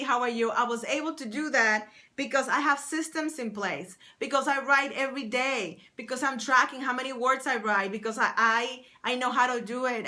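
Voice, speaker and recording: Woman, writing coach, live-stream host, bright higher voice, bubbly and repetitive. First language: English. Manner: enthusiastic, repetitive, reads out viewer names. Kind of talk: live stream chatting with viewers.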